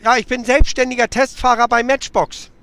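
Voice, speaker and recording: Male prank caller, higher-pitched, deadpan and playful. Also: moderate pace, gravelly, clear, resonant tone, no room sound. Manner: deadpan, jokey, plays along. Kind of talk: prank phone call.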